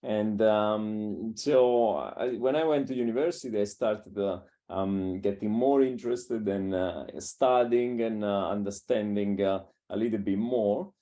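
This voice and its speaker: A man from Italy speaks English with a clear Italian accent in a low, mellow voice. His talk is halting and full of "uh" and "um" as he reaches for words. He sounds like a coach in his thirties in a casual conversation. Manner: halting, many filler sounds, non-native phrasing. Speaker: strength and conditioning coach.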